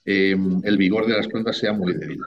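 A man's voice: low voice, normal speed, highs cut off, slight background noise. A man from Spain with a Spanish accent, a winemaker talking casually as an interview guest, rambling and full of tag questions.

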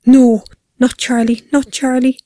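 A woman's voice: fairly high pitch, normal speed, mellow, husky, dry recording, pitched above the performer's own voice. A woman voicing a terrified young mother in an audiobook, gasping and pleading frantically for her child. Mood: terrified, frantic. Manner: gasping, pleading, bursting out in panic. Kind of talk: audiobook character acting.

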